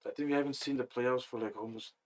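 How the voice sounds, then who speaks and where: A young man from Afghanistan, a guest in a casual interview, talking in a relaxed, rambling way with many fillers. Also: mid-range voice, fast talker, a crowd in the background, poor audio.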